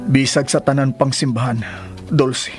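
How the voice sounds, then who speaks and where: An earnest middle-aged man played by a radio actor pleads his devotion in a solemn, emphatic way. He has a Cebuano Filipino accent and a mid-pitched voice, with a clear music bed behind him. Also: fairly even intonation, measured pace, lightly acted. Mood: insistent, tender. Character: earnest, strict-looking middle-aged suitor proposing marriage. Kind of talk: Philippine radio drama acting.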